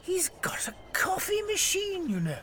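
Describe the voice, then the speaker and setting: A chatty, easily impressed British funeral officiant in an audio comedy. The voice is high and bright, swooping about as he rambles cheerfully over faint noise. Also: huge pitch swings, a little slow, exaggerated voice. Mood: breezy, cheerful. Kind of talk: audio sitcom acting.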